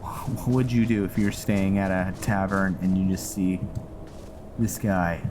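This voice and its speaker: Low-voiced, slow-talking man playing a game. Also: fairly even intonation, noisy background. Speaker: gaming video host. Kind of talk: casual gameplay roleplay commentary. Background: game music.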